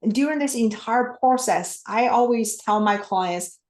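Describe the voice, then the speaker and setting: A woman's voice: on the high side, unhurried. Woman guest coach, second-language English, earnest, reverberant room. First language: not English. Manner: simple, earnest explanations with frequent restarts. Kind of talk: podcast interview.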